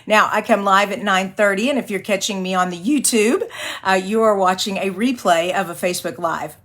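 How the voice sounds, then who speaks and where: A woman from Texas hosting a live card-making tutorial, her voice a little raspy from singing and allergies, who rambles, apologizes often and laughs easily. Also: medium pitch, moderate pace, fairly bright tone. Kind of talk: live-stream craft tutorial, chatty.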